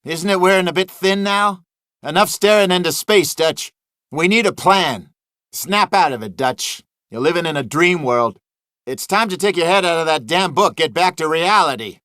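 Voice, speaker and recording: A high-pitched, chiding western outlaw. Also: male voice, animated, moderate pace, pushed and bright, dry recording, clean studio sound. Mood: exasperated. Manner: sarcastic, chiding. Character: scornful, exasperated male Old West gang member. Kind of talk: video game character barks.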